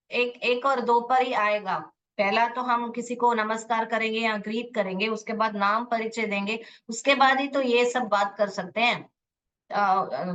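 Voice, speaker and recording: Slow-paced Indian woman teacher, distant mic. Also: on the high side, fairly bright tone. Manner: instructional, checks understanding with short tag questions. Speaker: English teacher. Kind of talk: live online lesson, explaining with examples. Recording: dull, distant-sounding online class audio.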